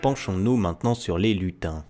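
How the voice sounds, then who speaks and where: Low-voiced man narrating a mock investigation. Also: normal speed. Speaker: narrator of a satirical investigation video. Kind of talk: mock-documentary scripted narration. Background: background music under the voice.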